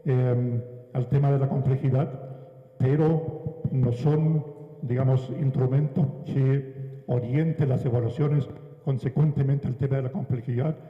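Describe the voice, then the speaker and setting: A man giving a measured academic lecture at a conference, with frequent hesitation fillers. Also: medium pitch. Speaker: conference speaker. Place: large conference hall. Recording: echoey, dull hall sound.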